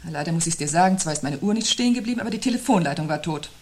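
An actress playing a weary, sceptical wife who answers gloom with dry, pointed, controlled lines in a husky voice. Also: female voice, lowish voice, lively intonation, rapid-fire, a little mellow. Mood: matter-of-fact. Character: sceptical, weary wife, dryly resisting her partner's gloom. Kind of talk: 1970s German radio play acting.

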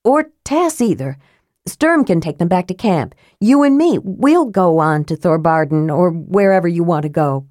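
A woman's voice: lowish voice, lively intonation, moderate pace, darkish tone, dry recording, studio quality, close to an everyday voice. A woman voicing a big, simple-hearted young male warrior who talks earnestly and eagerly to please. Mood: pleading. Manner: pleading, earnest. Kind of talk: audiobook character voice.

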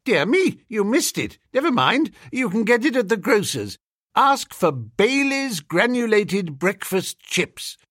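A man voicing an eccentric whiskered fellow with brisk, insistent sales patter in an audiobook story. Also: sits high, very animated, a bit bright, no room sound, clean studio sound, voice pushed up, heavily acted. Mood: breezy, insistent.

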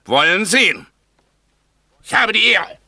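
A haughty, vengeful conservative gentleman in a radio drama. His voice is fairly high, extremely sharp and husky, with lively threatening swings. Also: measured pace, full character voice. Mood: outraged, threatening. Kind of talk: German radio play acting.

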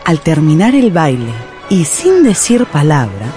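A woman's voice: low voice, big pitch swings, a little slow, dark tone, faint music behind. A woman narrating a radio drama as a scripted storyteller.

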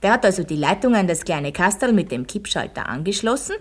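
A woman's voice: medium pitch, lively intonation, fairly quick, close to an everyday voice. A woman playing an Austrian village schoolteacher in a radio play, quizzing her pupils and telling them a heroic tale with didactic emphasis. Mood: dramatic, suspenseful. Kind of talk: radio drama, classroom storytelling.

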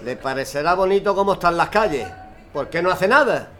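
A man playing a stern conservative father, gruff and scornful, in a radio drama. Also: high-pitched, expressive, fairly quick, fairly bright tone. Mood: despectivo. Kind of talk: amateur radio drama acting.

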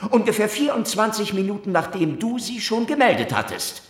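An actor playing a cynical Soviet secret police investigator in a German radio crime drama, with a sardonic, taunting, theatrical delivery. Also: male voice, sits high, sing-song, brisk, roomy sound. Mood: accusing, mocking.

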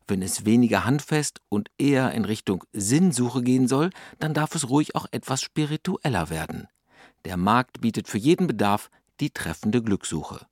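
A man narrating a radio feature in a measured, wry scripted style. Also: mid-range voice, lively intonation, a little mellow, no echo, clean studio sound.

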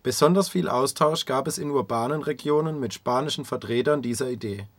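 A man's voice: medium pitch, fairly quick, dry recording. Man narrating, dry close recording. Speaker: radio feature narrator. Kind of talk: scripted documentary narration.

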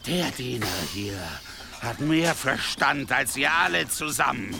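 An actor in a German audio drama playing a cruel, volatile medieval warlord who menaces his men with theatrical, drawn-out delivery. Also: medium pitch, animated, vocal fry, heavy background noise. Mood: cold, scheming. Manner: menacing, theatrical, drawn-out, swinging from hushed to shouting.

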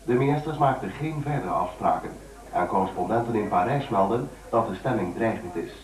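Actor as formal radio newsreader, echoey. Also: male voice, on the low side, fairly quick, close to an everyday voice. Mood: grave, formal. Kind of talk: old-fashioned news bulletin. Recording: echoey, distant, radio bulletin in play.

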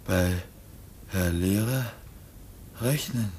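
An actor playing a naive, timid teenage foundling in a 1950s German radio play, speaking in halting, simple phrases. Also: male voice, on the low side, a little mellow, a little hiss or hum. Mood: timid, defensive. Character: naive, timid sixteen-year-old foundling youth, halting and childlike.

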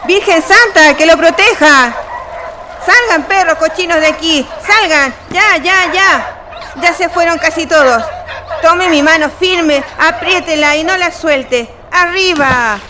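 A woman's voice: very high-pitched, a little slow. A woman with a Chilean accent playing a humble, kindly, motherly neighbourhood lady in a radio play, solicitous and colloquial. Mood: alarmed. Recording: clear background noise.

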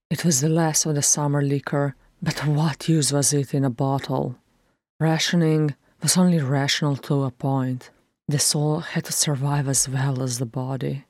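Slow, low-voiced woman reading. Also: a little mellow, no room sound, clean studio sound. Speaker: audiobook reader. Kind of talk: reading fan fiction aloud.

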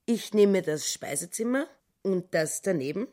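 Nagging, swooping housewife. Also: female voice, lowish voice, animated, unhurried, warm tone. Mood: bossy. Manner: indignant, insistent, repetitive. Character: nagging, petty, self-righteous housekeeper turned wife. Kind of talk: radio drama acting.

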